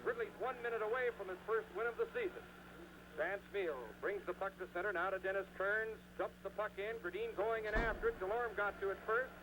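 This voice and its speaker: High-pitched 1970s hockey radio play-by-play man. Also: fairly even intonation, fairly quick. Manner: clipped running phrases, voice rising on shots. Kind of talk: live 1970s radio sports commentary. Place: live at an ice hockey arena. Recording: noisy 1979 radio broadcast recording.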